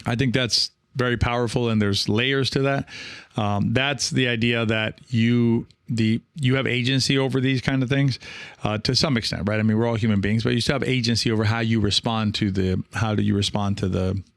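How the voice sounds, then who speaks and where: A man hosting a solo podcast in a reflective, halting way, often correcting himself and checking in with the listener. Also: mid-range voice, fairly even intonation, gravelly, no echo, clean studio sound. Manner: halting, self-correcting, often seeking agreement with "right?". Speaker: podcast host.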